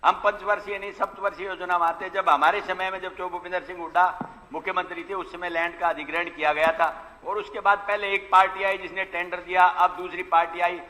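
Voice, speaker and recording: High-pitched man speaking in a reverberant hall. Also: bright, forceful tone. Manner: formal, insistent, complaining. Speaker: opposition legislator questioning a minister. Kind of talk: formal speech in a legislative assembly. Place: large legislative assembly hall. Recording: long hall reverb of assembly chamber.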